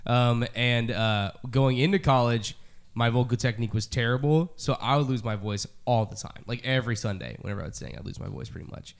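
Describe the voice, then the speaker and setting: A man's voice: mid-range voice, brisk, creaky. A man hosting a casual podcast chat, with a nasal voice from a cold. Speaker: podcast host.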